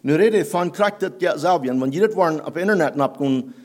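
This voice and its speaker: Male preacher, Plattdeutsch native, halting German, English words mixed in, sermon. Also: fairly high pitch, expressive, darkish tone.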